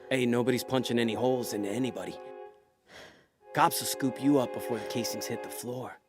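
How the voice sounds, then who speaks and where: A man's voice: mid-range voice, fairly even intonation, a little fast, music in the background, close to an everyday voice. An actor playing a laid-back, cocky small-time con man in a noir audio drama, wry and slangy. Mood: cocky, threatening.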